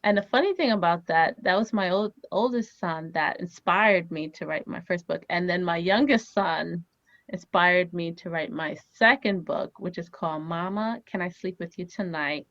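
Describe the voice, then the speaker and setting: A woman's voice: lowish voice, moderate pace, dry recording, lo-fi sound. A woman in her thirties whose first language is Haitian Creole, a family therapist telling stories as an interview guest with lots of "you know" fillers. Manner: animated storyteller, many "you know" fillers.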